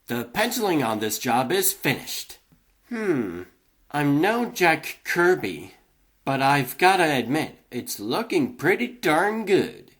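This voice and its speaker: A man's voice: on the high side, fairly bright tone, exaggerated voice. A man voicing a weary, good-natured, wisecracking rabbit comic artist who chatters wryly to himself in a cartoon comic dub.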